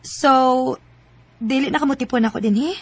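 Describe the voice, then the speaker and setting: An actress playing a worldly, chatty female coworker who hands out advice in a radio drama, expressive, with a Cebuano Filipino accent. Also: high voice, normal speed. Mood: curious, teasing.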